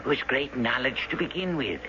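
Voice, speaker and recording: An actor playing a courtly, philosophical gentleman in a radio drama, speaking politely and haltingly in ornate phrases. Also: medium pitch, lively intonation. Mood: gently persuasive. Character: courtly, philosophical man with a curious stone. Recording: muffled, hissy vintage radio drama recording.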